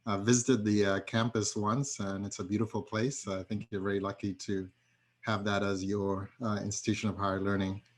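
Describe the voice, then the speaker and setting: Middle-aged man, steady low monotone, pauses often between words, on a video call. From Zambia. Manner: halting, with many fillers. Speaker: tech entrepreneur, panel speaker. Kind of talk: personal introduction at an online forum.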